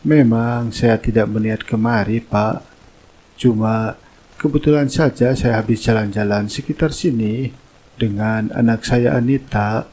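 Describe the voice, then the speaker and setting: A man's voice: mid-range voice, steady tone, a little slow, a little mellow, breathy edge, poor audio. Man voicing a courteous, commanding wealthy father. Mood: relaxed, courteous. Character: courteous, authoritative wealthy building owner and father. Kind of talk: cartoon drama dubbing.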